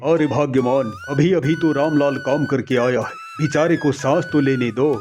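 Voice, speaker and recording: A man playing a kindly henpecked merchant in an animated moral story, placating and gently reproachful. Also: medium pitch, moderate pace, over music, close to an everyday voice.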